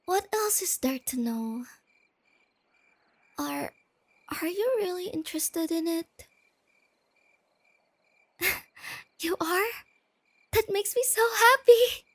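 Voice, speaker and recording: A woman acts out a shy, bookish young girlfriend in an ASMR roleplay. She is soft-spoken and gentle, with a high, slightly creaky voice, and takes her time as she eagerly explains things. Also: expressive, takes it slowly, pauses often, no room sound, full character voice. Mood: shy, excited. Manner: gentle, intimate, enthusiastic about explaining facts.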